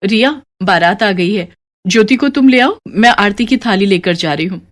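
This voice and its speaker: A woman voicing a meek, dutiful village sister-in-law in an animated story, polite and accommodating. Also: mid-range voice, normal speed, close to an everyday voice. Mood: busy, dutiful. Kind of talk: animated moral story character dialogue.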